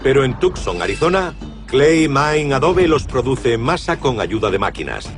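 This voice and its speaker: A man's voice: mid-range voice, very animated, normal speed. Documentary narrator: man, scripted delivery, average register, noticeable music bed.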